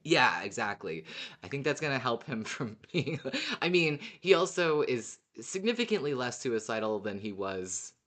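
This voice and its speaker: A podcast co-host of unclear gender, chatting casually as a fan in a giggly, excitable way. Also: mid-range voice, moderate pace. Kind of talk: casual fan discussion of an audio drama.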